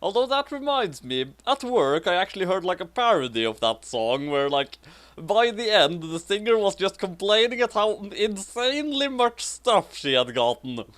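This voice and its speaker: A woman's voice: mid-range voice, sing-song, moderate pace. A woman co-hosting a comic fan fiction reading, switching between casual chat and theatrical, exaggerated delivery.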